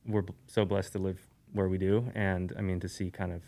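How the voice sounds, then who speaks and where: Guest from Eastern Europe, a man with a slightly low voice; loose, rambling delivery full of "you know" and restarts. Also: fairly quick. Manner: relaxed, rambling, many fillers and restarts. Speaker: guest, sneaker YouTuber. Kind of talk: casual podcast conversation.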